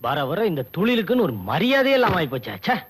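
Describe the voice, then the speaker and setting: A man's voice: high voice, sing-song, fast talker, slightly rough, heavily acted. An actor playing a sarcastic man doctor who teases and scolds his patients. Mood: exasperated. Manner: sarcastic, teasing.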